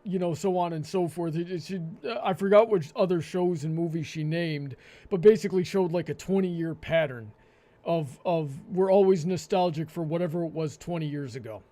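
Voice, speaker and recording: A man hosting a live panel discussion, with a hesitant, halting delivery that often stammers and repeats words. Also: a little high, mellow. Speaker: host.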